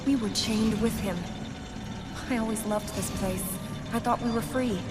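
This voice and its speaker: Woman playing a serious young princess, loud music. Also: fairly high pitch, normal speed, natural voice. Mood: grave. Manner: earnest, dry sarcasm. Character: young princess, serious, dutiful, dryly cutting. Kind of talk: video game cutscene acting.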